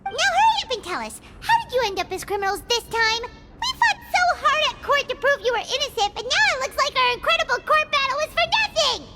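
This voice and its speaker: A woman voicing a tiny, excitable, childlike fairy companion with a squeaky voice, dramatic and cowardly, in an animated video game. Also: fairly quick, loud music behind the voice, full character voice. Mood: startled, indignant. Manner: refers to self in third person, exclamatory.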